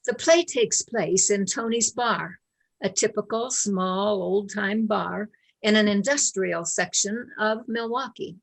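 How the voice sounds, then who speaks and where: A woman serves as narrator, reading scripted scene descriptions for a play. She takes a slow pace in a mid-pitched voice. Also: gravelly. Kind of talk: scripted stage-direction narration.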